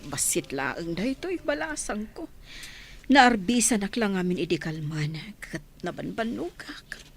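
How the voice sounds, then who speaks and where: A woman's voice: medium pitch, big pitch swings, measured pace, creaky, slight background noise. A woman acting a kindly, ailing middle-aged village mother in a radio drama. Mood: weary, indulgent.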